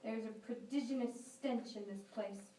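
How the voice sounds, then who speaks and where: A woman's voice: on the high side, fairly even intonation, moderate pace, dark tone, natural voice. An imperious judge of colonial times acted by a woman, curt and commanding. The amateur play is caught from a distance in an echoey room. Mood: stern. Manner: curt, questioning, authoritative. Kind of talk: amateur stage-play acting. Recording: echoey room, distant mic, faint noise.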